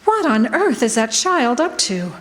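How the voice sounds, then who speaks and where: Actress as earnest caring mother, high swooping voice, radio play, hall reverb. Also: big pitch swings, a little slow, music in the background. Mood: puzzled, alarmed. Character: caring, sensible mother of a young girl. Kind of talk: stage radio drama acting. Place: college campus stage performance in a hall. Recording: echoey hall.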